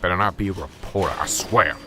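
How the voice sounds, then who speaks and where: A gruff police sergeant, played by a man, talks curtly and impatiently. His voice sits fairly low, with a faint hiss under this amateur radio drama. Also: fast talker, close to an everyday voice.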